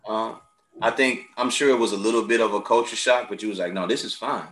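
Brief: low-pitched male host, bright, forceful tone, lively storyteller, echoey room. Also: fairly quick. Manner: animated storyteller, acts out quoted friends. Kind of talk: casual chat, storytelling.

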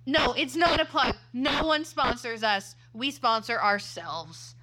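A woman's voice: on the high side, slow, noisy background. A woman in her early twenties co-hosting a casual podcast, rambling and correcting herself as she chats. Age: 20s. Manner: rambling, self-correcting, laughs often. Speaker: podcast co-host. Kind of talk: casual chat. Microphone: too close to the mic.

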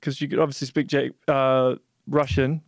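A man hosting a podcast, asking long, curious, probing questions in a relaxed conversational way. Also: medium pitch, normal speed, no room sound, clean studio sound. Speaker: podcast host. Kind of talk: interview conversation.

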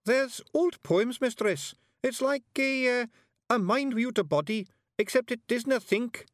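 A man voicing a tiny, earnest young Scottish fairy bard, explaining haltingly as in a storybook. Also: very high voice, dry recording, clean studio sound, voice pushed up. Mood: thoughtful, hesitant.

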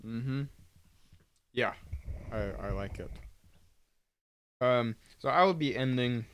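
A man co-hosting a faith podcast, calmly chatting and reading passages aloud. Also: medium pitch, takes it slowly, clear, resonant tone. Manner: calm, reads passages aloud steadily. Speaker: podcast co-host. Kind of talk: casual chat and scripture reading.

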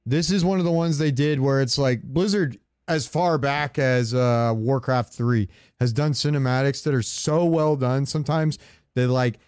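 Male podcast host, smooth clear voice, mid pitch, dry close sound, casual fan commentary. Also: moderate pace, a little mellow, no echo.

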